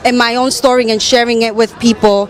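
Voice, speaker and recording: A woman from the Caribbean and New York City giving candid personal testimony as an interview guest, halting as she searches for words. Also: fairly high pitch, flat delivery. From born in the Caribbean, raised in New York City. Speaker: interview guest, survivor advocate and theater storyteller.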